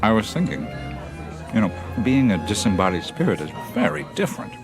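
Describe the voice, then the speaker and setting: An actor with an American accent playing an easygoing, wry traveler in casual, musing radio drama dialogue. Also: male voice, on the low side, big pitch swings, fairly quick, music in the background. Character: easygoing, wryly humorous American male traveler.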